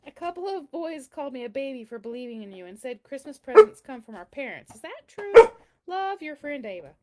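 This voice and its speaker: A woman's voice: high voice, very animated, a little fast, a little mellow. A woman voicing a curious, earnest seven-year-old girl reading out her letters in a children's storybook, eager and full of questions. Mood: earnest, questioning. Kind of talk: children's storybook read-aloud.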